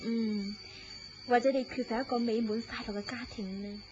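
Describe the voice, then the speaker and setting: An actress playing an affectionate married woman in a wistful secret romance, coy and expressive in Cantonese radio drama style. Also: fairly high pitch, moderate pace, close to an everyday voice. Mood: gentle, wistful. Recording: dull lo-fi audio, loud background noise.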